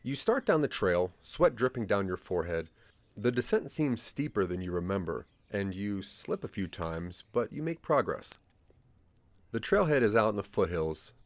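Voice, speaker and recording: A man's voice: on the low side, sing-song, moderate pace, no room sound. A man narrating a scripted audio drama in the second person, with a dramatic storytelling manner.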